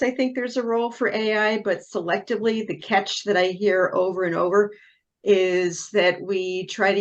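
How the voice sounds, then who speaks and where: A woman with a lowish voice giving candid, thoughtful remarks as a panelist on a video call. She speaks with a wry edge and steady delivery. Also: highs cut off. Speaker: panelist, genetics expert.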